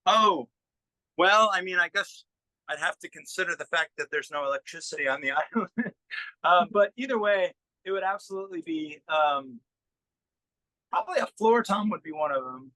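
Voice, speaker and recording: Bright, higher-voiced man chatting as guest. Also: lively intonation, no room sound, low-quality recording. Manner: halting, thinking aloud while explaining. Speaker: musician, interview guest. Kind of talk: casual interview answers.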